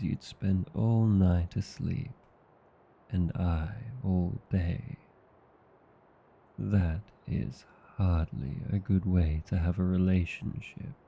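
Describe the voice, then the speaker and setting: A man with an Australian accent playing an affectionate, drowsy vampire husband in an intimate ASMR roleplay, murmuring softly and tenderly. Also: lo-fi sound, full character voice. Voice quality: low, gravelly, husky. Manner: languid, soft, tender murmuring.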